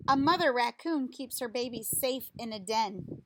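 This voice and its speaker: A woman reading a picture book aloud like a teacher, in a deliberate, instructive way, putting questions to young listeners. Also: fairly high pitch, expressive, background noise.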